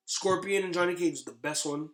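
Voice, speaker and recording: A man's voice: sits high, fairly quick, fairly bright tone, poor audio. A man in a casual podcast chat, slangy and agreeable, restating his points as he goes. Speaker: podcast guest. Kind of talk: casual podcast chat about movies and games.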